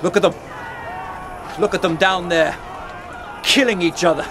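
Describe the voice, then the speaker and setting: An actor playing a knight's eager, dogged younger brother in a historical radio drama. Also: male voice, high voice, fairly even intonation, a little slow, background noise. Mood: nervous, wry.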